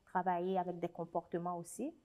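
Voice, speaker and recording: A woman's voice: on the low side, monotone, fairly quick, mellow. A young adult woman with a Haitian French accent, a guest speaking earnestly and reflectively in a conversational interview. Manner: earnest, reflective, with frequent restarts. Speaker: guest, writer and special educator.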